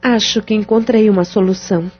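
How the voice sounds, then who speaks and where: An actress playing a caring friend who gently persuades and reassures the others. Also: female voice, medium pitch, steady tone, fairly quick, close to an everyday voice. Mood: coaxing. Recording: dull lo-fi audio with faint music.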